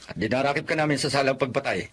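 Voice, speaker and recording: A man's voice: mid-range voice, very fast, a bit bright, slight background noise, lightly acted. A man playing a stern, authoritative policeman in a Filipino radio drama, formal in manner. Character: stern policeman making an arrest.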